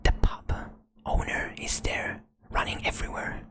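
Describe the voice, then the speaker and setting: A woman whispering a fantasy adventure to the listener in the second person, close to the microphone, as ASMR narration. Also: normal speed. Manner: soft whisper throughout, second-person telling. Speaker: storyteller.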